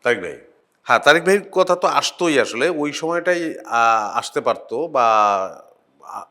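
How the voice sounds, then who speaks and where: A middle-aged man, an actor guesting on a talk show, talking in a reflective, halting way, often pausing on "ah" and letting his phrases trail off softly. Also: mid-range voice, lively intonation. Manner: reflective, halting with frequent "ah" pauses. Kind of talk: casual interview chat.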